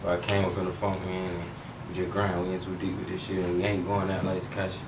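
A man's voice: low-pitched, monotone, fast talker, a loud crowd. A young man from Dallas, laid-back and slangy as an interview guest. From Dallas, Texas. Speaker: rapper guest. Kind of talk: casual interview chat. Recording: roomy, distant, noisy.

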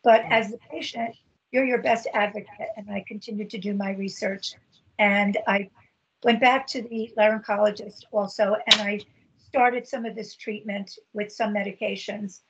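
A middle-aged woman with a husky voice telling her own story on a video call, earnest and halting with many fillers. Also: medium pitch, fairly even intonation. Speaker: patient advocate. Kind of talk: personal story in a video meeting. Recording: dull lo-fi video call audio.

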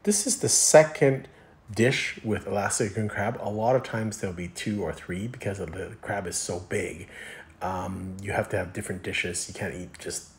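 Male food reviewer, low voice, casual and explanatory voice-over, somewhat hesitant and rambling. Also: normal speed.

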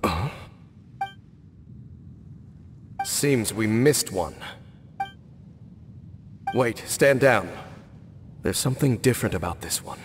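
Low-voiced brooding aloof knight. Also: male voice, animated. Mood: composed, commanding. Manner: grave, measured, formal phrasing. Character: brooding, world-weary knight, aloof and knowing. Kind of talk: anime video game acting. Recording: long reverb, game music behind.

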